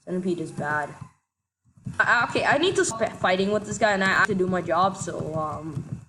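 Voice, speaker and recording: A woman giving casual gameplay commentary, excitable and quick to shout in frustration, a little sick. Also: on the low side, background noise. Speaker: gaming commentator.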